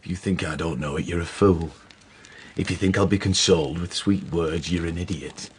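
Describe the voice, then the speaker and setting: An actor playing a bitter, brooding lover in a gothic period radio drama, speaking with contempt and menace. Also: male voice, deep voice, normal speed, a little hiss or hum, lightly acted. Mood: bitter, wounded.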